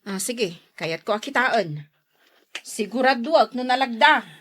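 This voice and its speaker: A woman's voice: on the high side, lively intonation, measured pace, a bit bright. A woman with an Ilocano accent playing a bossy, complaining, demanding wife in a radio drama. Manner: bossy, exclamatory, grumbling. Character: sharp-tongued, demanding wife, fussy and complaining about neighbours.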